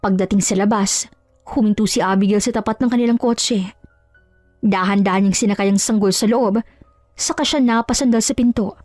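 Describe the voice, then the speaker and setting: A woman's voice: medium pitch, fairly even intonation, brisk, a little mellow, dry recording, clean studio sound, soft background music. A woman narrating a scripted story in a dramatic style.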